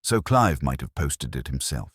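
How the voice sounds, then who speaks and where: A man's voice: extremely low pitch, big pitch swings, moderate pace, slightly rough, no echo, studio quality, exaggerated voice. A man voicing a wry, alert detective's assistant who gives clipped replies in an audio story. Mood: questioning.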